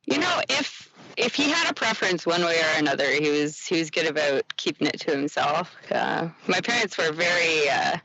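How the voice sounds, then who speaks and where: A young woman from Quebec, guest on a podcast, talking casually with many restarts and soft laughs. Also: low voice, lively intonation, normal speed, hardly any pauses, no room sound, dull audio. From Quebec, Canada. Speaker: ironworker guest.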